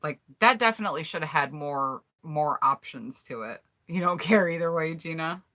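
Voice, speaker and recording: A woman's voice: sits low, animated, normal speed, pushed and bright. An American woman chatting casually on a home mic, reading out viewers' answers in an upbeat, rambling way. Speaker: YouTuber hosting a collab tag.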